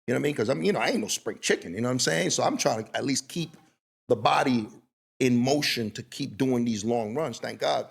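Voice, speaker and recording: A middle-aged man with a gravelly, slightly husky voice hosts a podcast. He rambles through stories in a loose, casual way and keeps checking in with "you know what I mean.". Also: mid-range voice, a little fast. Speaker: podcast host. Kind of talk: casual conversational interview.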